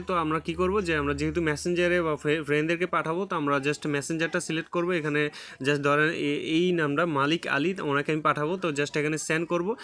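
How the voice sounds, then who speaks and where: A man's voice: on the high side, a bit bright. A man with a Bangladeshi Bengali accent hosting a casual screen-recorded app tutorial, filling his talk with many "uh"s and restarts. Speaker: tech tutorial host.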